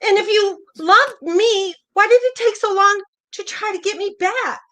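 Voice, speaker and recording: A woman's voice: extremely high pitch, unhurried, a bit bright. A woman playing a hurt, jealous older wife, pointed and probing, in a naturalistic play reading. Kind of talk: virtual staged play reading, naturalistic dialogue.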